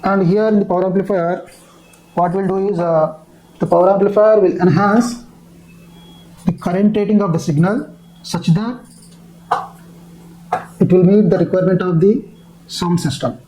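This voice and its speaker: A man teaching in an explanatory lecture style, with a halting, repetitive delivery. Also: high voice, steady tone, mellow, a little hiss or hum, poor audio.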